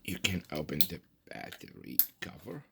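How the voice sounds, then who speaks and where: A man with an extremely deep, creaky voice presents a product unboxing. His delivery is casual and halting, as if thinking aloud, and his pitch moves around freely. There is no studio polish to the talk. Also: sing-song, very noisy.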